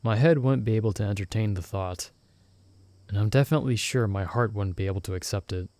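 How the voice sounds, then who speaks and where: A young man voicing a loyal friend stranded in another world, who talks in a soft-spoken, gently teasing and reassuring way in an ASMR roleplay recorded close to the mic. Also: lowish voice, fairly quick, no echo, lightly acted. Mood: gentle, reassuring.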